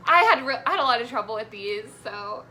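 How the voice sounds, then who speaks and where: A woman's voice: on the high side, animated, fast talker, pushed and bright. A woman talking casually about books as a guest on a vlog. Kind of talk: casual book chat.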